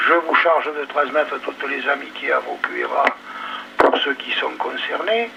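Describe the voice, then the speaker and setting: A man's voice: mid-range voice, moderate pace, creaky. A polite, slightly hesitant man, talking casually as a radio amateur over two-way radio. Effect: two-way radio transmission. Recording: muffled, noisy ham radio relay.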